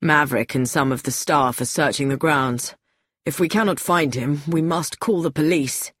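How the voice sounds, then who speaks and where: A woman reading aloud, voicing a stern middle-aged man in charge of a household who speaks gravely and formally. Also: sits low, normal speed, fairly bright tone, dry recording, studio quality, pitched below the performer's own voice.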